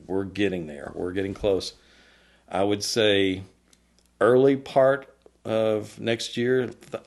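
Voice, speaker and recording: Male product designer, fairly deep voice, informal explanatory presenter, enthusiastic and colloquial. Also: a little mellow.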